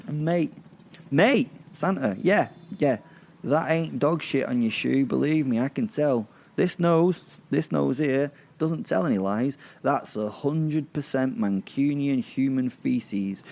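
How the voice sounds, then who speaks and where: A man's voice: mid-range voice, a little mellow, voice pushed up. Man playing a crude, gleefully mocking werewolf. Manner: taunting, repetitive, boastful. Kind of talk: comic horror audio drama.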